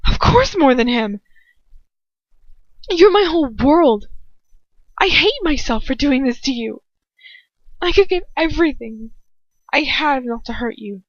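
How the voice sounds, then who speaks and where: Woman acting a tearful, pleading young woman, high-pitched. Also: lively intonation, a little slow, pauses often, no room sound, muffled recording. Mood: desperate, tearful. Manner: passionate, sobbing, pleading.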